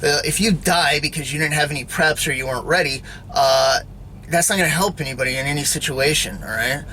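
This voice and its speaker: Bright-voiced rambling man preaching casually. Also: on the high side, a little fast, slight background noise, rough recording. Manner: rambling, repeats "all right?" and "you know". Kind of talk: casual spoken sermon to camera.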